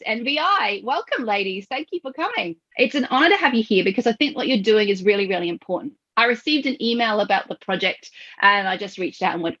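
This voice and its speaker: Bubbly woman host, effusive, fairly high voice, dull lo-fi video call sound. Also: fairly bright tone. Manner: bubbly and effusive, laughs often. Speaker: podcast host. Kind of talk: enthusiastic interview chat on a video call.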